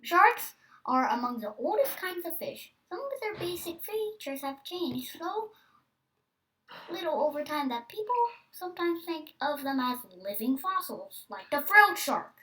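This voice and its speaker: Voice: high voice, very animated, a little slow, bright, forceful tone, distant mic, low-quality recording. A nine-year-old child hosting a read-aloud, with casual asides and some stumbles on hard words. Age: child, nine years old. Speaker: host reading a book aloud.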